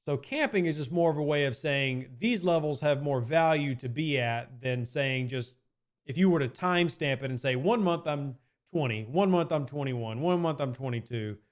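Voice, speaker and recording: A man's voice: mid-range voice, normal speed. A man streaming live and answering chat questions in a casual, rambling way, often hedging with "I mean" and repeating his points.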